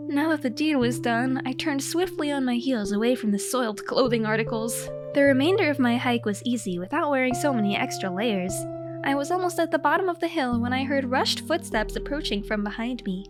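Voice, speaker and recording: High-pitched woman, theatrical comic storyteller. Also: lively intonation, brisk, over music. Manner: theatrical, mock-heroic. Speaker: storyteller narrating own comic diary. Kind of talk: dramatic comedic scripted narration.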